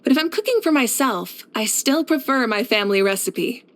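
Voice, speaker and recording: Video game heroine: actress as a warm, confident young female leader and warrior, higher-pitched, measured and sincere. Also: lively intonation, lightly acted. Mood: friendly. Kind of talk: scripted video game character voice lines.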